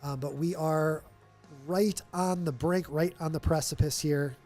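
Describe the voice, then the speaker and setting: A man's voice: a little high, steady tone, unhurried, darkish tone, soft background music. A man with a nasal voice hosting a live charity stream, chatting upbeat to fill time. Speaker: livestream host. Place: stadium event room.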